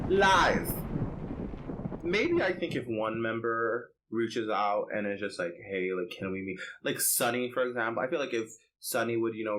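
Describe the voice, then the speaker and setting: A man's voice: fairly low pitch, a bit bright, a little hiss or hum, poor audio. A man co-hosting a podcast, chatting casually and telling stories in a sassy, theatrical, slangy way. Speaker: podcast co-host. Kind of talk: casual chat and animated storytelling.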